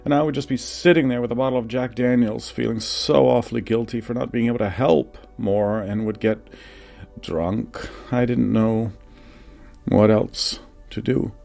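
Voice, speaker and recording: A man reading as a burdened, guilt-ridden young man, mellow-toned. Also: medium pitch, fairly even intonation, normal speed, creaky, soft background music, lightly acted. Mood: guilty, burdened. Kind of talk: audiobook reading of a personal testimony.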